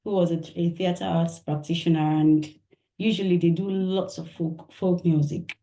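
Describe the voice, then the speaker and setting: A woman from Nigeria speaking as an interview guest, soft-spoken and thoughtful, laughing often. Her voice is low and mellow. It rings in an echoey room. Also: fairly even intonation, moderate pace. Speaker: musician guest. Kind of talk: interview answers.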